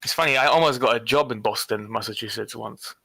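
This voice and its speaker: A man's voice: mid-range voice, a little fast, creaky, pushed and bright, no echo. A man from Poland, living in England, chatting casually and laughing easily in a voice chat. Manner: friendly, laughs easily, self-deprecating. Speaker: chat participant.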